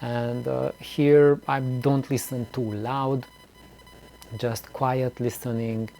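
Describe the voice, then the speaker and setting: A man hosts a casual, unscripted vlog in a mellow, relaxed voice of middling pitch. He takes his time, speaking slowly and haltingly. He rambles and often repeats a word as he goes. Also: gravelly, faint music behind. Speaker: YouTube host of a DIY loudspeaker channel. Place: small room indoors.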